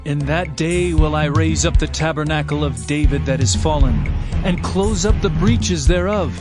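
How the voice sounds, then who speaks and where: A man's voice: fairly high pitch, normal speed, over loud music. A man narrating a dramatized scripture reading in a solemn, declamatory manner.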